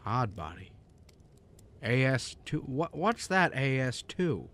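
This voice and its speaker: A man's voice: mid-range voice, big pitch swings, slow pace, a bit bright. A man playing a despairing, skeptical everyman in a radio drama, wry and stammering. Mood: incredulous. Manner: stammering, sardonic, incredulous.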